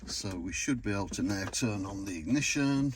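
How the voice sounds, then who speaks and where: A man's voice: on the low side, animated, normal speed. A British man giving a casual, matter-of-fact hands-on commentary as he works in a car, with informal phrasing. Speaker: car DIY vlogger. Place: in and around a car. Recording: noticeable background noise and clicks.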